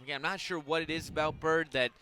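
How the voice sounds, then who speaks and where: A man giving conversational color analysis on a softball radio broadcast, explaining things in an informal way. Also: a little high, fairly even intonation, fast talker, clear, resonant tone.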